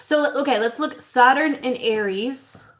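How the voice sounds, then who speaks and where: A young woman hosting an astrology livestream, whose first language is not English, talking in a rambling, reflective way with fillers and soft laughs. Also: high-pitched, unhurried. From outside Ireland, moved there at eleven. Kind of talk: casual livestream talk.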